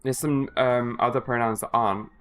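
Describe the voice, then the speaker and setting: A man's voice: medium pitch, steady tone, moderate pace, smooth, faint music behind. A young man chatting on a livestream, tired after a bad night's sleep, rambling and joking with lots of filler words. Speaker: streamer. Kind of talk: casual livestream chat.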